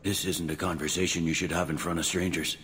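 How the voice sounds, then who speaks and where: An actor playing a gruff, world-weary police captain in a video game, talking bluntly and wryly in everyday slang. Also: male voice, low voice, fairly even intonation, fast talker, fairly bright tone. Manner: blunt, colloquial, wry. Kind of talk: video game character dialogue. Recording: long hall reverb, faint background noise.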